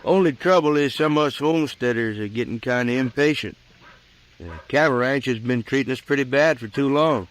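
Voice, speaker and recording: A man's voice: medium pitch, brisk, natural voice, low-quality recording. A man playing an impatient, slyly threatening Old West homesteader with folksy frontier talk, on an old radio drama recording. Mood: veiled threat. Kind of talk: 1950s radio western acting.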